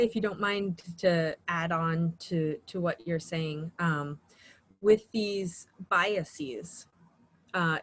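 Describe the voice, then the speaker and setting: Woman on a zoom panel, slow and careful, lowish voice. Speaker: workshop panelist. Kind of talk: online panel discussion. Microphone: video call.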